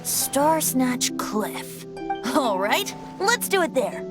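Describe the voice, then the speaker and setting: A woman's voice: high voice, sing-song, drawn-out words, over loud music, exaggerated voice. A woman voicing a boyish, playful young male bard in an anime-style video game, airy and theatrical. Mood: thoughtful.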